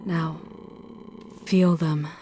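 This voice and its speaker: Over noticeable background noise, a seductive female demon played by a woman speaks very slowly in a lowish voice. She is intense and protective, with theatrical, hushed phrasing suited to a dramatic ASMR roleplay. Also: level tone. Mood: tender, coaxing. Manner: theatrical, hushed, drawn-out phrasing, often slipping into whispers.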